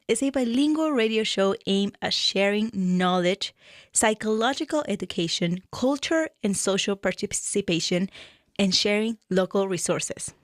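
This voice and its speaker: A woman from Peru with a Peruvian Spanish accent, a therapist hosting a radio show and reading a prepared introduction in a measured, earnest way. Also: medium pitch, dry recording, studio quality. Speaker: radio show host and mental health therapist.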